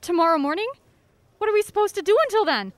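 High-voiced, plucky woman traveler. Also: expressive, fast, dry recording. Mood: reassuring, practical. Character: plucky, practical female traveler, breezily unflappable. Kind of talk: comic radio drama acting. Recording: clear vehicle noise behind.

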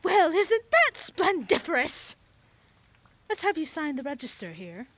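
A woman's voice: sits high, very animated, unhurried, fairly bright tone, slight background noise, heavily acted. An actress playing an eccentric, nosy woman who keeps a creepy motel, with a theatrical, flowery, singsong delivery in an audio drama. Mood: nosy, gushing.

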